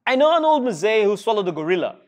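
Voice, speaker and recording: Very animated Ugandan man reading aloud. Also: high voice, fast talker. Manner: animated, playful, encouraging to children. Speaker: storyteller reading to schoolchildren. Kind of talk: expressive read-aloud of a rhyming children's book. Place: indoor school reading room with seated children.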